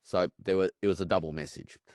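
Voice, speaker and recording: This man has an extremely deep voice, recorded dry and close with no room sound. He speaks as a reflective interview guest, thinking aloud and pausing on "um" as he goes. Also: steady tone, a little mellow, dry recording. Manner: thoughtful, reflective, with filler "um". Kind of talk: conversational interview analysis.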